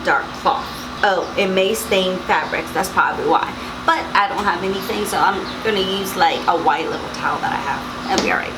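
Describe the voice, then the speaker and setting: A young woman with a slightly raspy morning voice, chatting casually through a beauty box unboxing and reading product labels aloud. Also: medium pitch, noisy background. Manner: chatty, reads labels aloud, frequent mm-hmms. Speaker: beauty vlogger.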